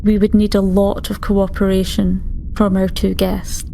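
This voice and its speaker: A woman's voice: mid-range voice, monotone, measured pace, gravelly, dark tone. A woman playing a frank, concerned starship doctor in a sci-fi tabletop game, speaking formally and with hesitant care. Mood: careful. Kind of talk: improvised sci-fi tabletop roleplay. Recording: faint background noise.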